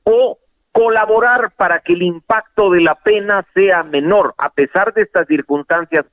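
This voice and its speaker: A fairly high-voiced man comes through a narrow, tinny phone line. He explains legal matters calmly and at length, with occasional hesitations. The sound is dry and close. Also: normal speed, no room sound. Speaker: lawyer and legal analyst guest. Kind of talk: phone interview on radio. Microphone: telephone line.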